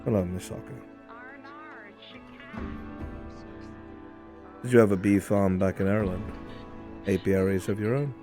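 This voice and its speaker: A man playing a solemn, philosophical imperial in a fantasy roleplay, speaking in a measured, brooding way with deliberate pauses. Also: low-pitched, fairly even intonation, warm tone, natural voice. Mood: probing, polite. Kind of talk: improvised fantasy roleplay acting. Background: background music.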